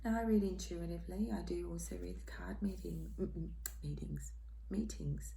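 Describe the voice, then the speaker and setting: A hoarse, croaky woman giving a tarot reading in a soft, half-whispered, reassuring way. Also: on the low side, normal speed, slight background noise, rough recording. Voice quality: croaky, throat-clearing. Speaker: tarot reader. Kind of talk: casual tarot reading monologue.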